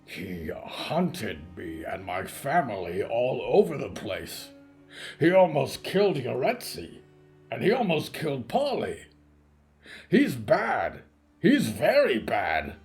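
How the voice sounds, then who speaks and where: A man's voice: mid-range voice, huge pitch swings, takes it slowly, soft background music, exaggerated voice. A man voicing a big, gloomy, childlike creature who speaks in plain, naive sentences in an audio fiction story. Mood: upset, indignant. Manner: plain short sentences, subdued, glum, naive.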